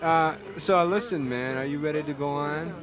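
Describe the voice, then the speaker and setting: A man playing a slow-witted, testy hippie stage announcer with stoner slang in a live comedy sketch. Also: a little high, natural voice. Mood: spaced-out. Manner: drawling stoner slang, forgetful. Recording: noisy live comedy recording with crowd.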